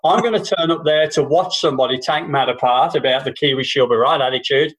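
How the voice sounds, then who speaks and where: A man's voice: mid-range voice, brisk, fairly bright tone. Rambling, sweary male podcast co-host. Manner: rambling and sweary, with repeated false starts. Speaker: podcast co-host and stand-up comedian. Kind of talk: casual political chat. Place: New Zealand.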